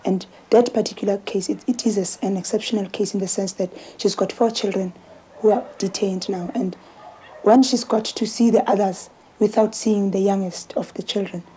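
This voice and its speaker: A South African woman with a husky voice speaking as an interviewee, giving testimony haltingly and repeating words. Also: mid-range voice, fairly even intonation, dark tone, slight background noise, rough recording.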